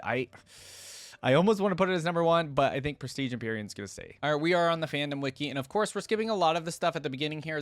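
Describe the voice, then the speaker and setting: A man's voice: mid-range voice, no echo. A man speaks as the host of a reaction video, with a mid-range voice and a conversational delivery. He offers his opinions casually and addresses his audience directly. The sound is dry, with hardly any room in it. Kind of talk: casual reaction commentary, partly reading a wiki aloud.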